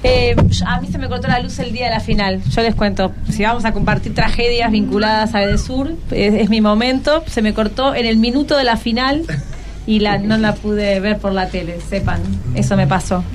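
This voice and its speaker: A woman radio host with a Buenos Aires accent speaks in a fairly high voice. She chats casually and prompts with questions, as in an interview with kids, over faint background noise. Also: fairly even intonation, moderate pace. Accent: Argentine, Buenos Aires. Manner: conversational, prompting with short questions.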